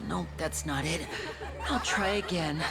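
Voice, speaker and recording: Cheeky young stage magician, high boyish voice, theatrical, animated, loud music behind. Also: male voice, unhurried, gravelly. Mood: playful.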